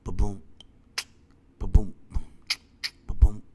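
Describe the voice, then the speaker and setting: A congested man who founded a company, talking in a rambling monologue that trails off into quiet asides. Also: fairly low pitch, monotone, slow pace, muffled recording, slight background noise. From Washington, D.C. area.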